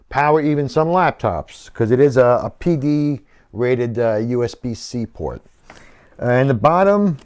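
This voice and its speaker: A man's voice: medium pitch, a little mellow. A middle-aged man giving an informal technical walkthrough as a hobbyist presenter, explaining things and often correcting himself as he goes. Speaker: radio hobbyist presenting a DIY build.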